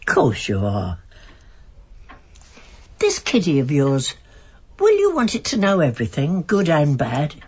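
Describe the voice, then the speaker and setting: An actress playing a frail, nosy, affectionate old woman with a husky, creaky voice in a radio play. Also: deep voice, wildly swooping pitch, a little slow, long pauses, muffled recording, exaggerated voice. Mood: curious, tender. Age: older. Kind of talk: naturalistic British radio drama acting.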